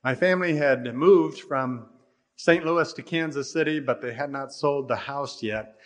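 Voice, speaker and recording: An older American man preaching an expository sermon in a measured, deliberate way, stressing phrases and letting the endings fall away. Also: medium pitch. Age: older, about 60. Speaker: pastor. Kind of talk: expository sermon with scripture readings.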